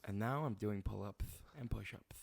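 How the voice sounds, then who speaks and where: A man's voice: lowish voice, normal speed, gravelly. A young man co-hosting a comedy podcast, joking around and reading sponsor copy with a playful put-on lisp. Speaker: podcast co-host. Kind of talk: casual comedic chat.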